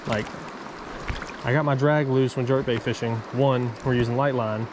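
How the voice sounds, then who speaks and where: A man's voice: mid-range voice, fairly even intonation, normal speed. Young man chatting casually over water noise. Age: young adult. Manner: informal, slangy bro talk. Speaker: fishing vlogger. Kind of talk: casual vlog chat to camera. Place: outdoors beside a creek.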